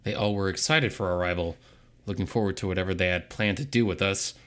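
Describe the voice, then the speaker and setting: Deep-voiced man, forceful dramatic narration. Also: steady tone, fast talker. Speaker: narrator reading a visual novel. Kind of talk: dramatic first-person scripted narration.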